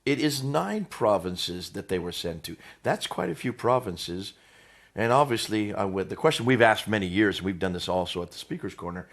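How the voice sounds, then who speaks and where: Deep-voiced American male host who steers the talk with rhetorical questions. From United States. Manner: leading with rhetorical tag questions. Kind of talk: conversational discussion.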